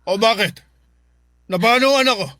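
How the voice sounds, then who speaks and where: A man's voice: very high voice, normal speed, bright, forceful tone, no room sound. An actor playing a cruel, stingy, bullying husband and father in a radio drama. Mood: angry, scornful. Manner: bullying, sneering, dismissive. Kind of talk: Tagalog radio drama acting.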